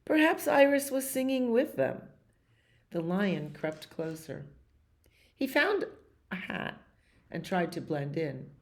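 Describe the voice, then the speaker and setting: A woman in her sixties reading aloud at storytime in an expressive way, with chatty asides about the pictures and questions for her listeners. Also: medium pitch, slow pace, long pauses. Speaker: library storyteller reading picture books to children. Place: library activity room, indoors.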